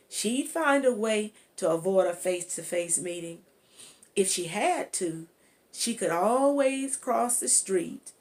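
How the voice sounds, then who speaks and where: A woman reading a children's chapter book aloud as an amateur narrator, in a deliberate manner. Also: mid-range voice. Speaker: amateur audiobook reader.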